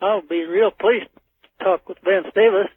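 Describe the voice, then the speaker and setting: A man with a markedly high voice calls in to a radio show. He speaks earnestly and deliberately over a thin, narrowband telephone line. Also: fairly even intonation, husky. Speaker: radio phone-in caller. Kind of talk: earnest call-in talk.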